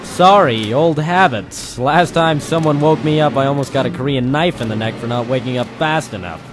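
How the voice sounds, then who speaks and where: A man's voice: mid-range voice, normal speed, background noise, lightly acted. A man playing a world-weary, wisecracking private detective in an old-time radio drama style, with theatrical noir delivery. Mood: wry, flirty. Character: world-weary hardboiled male private detective narrator, ex-Marine. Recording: music behind the voice.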